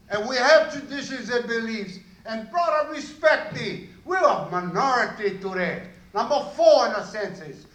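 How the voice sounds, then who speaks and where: Forceful older Hawaiian man, high-pitched and bright. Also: low-quality recording. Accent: Hawaiian local. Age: 60s. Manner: forceful, confrontational, with blunt rhetorical questions. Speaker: activist testifying at a public meeting. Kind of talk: impassioned public testimony.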